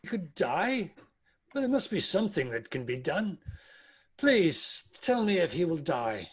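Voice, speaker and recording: Troubled old miser, played by a man, high-pitched and husky, for a holiday drama read. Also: very animated, a little slow, exaggerated voice. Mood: anxious pleading. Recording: remote video-call recording.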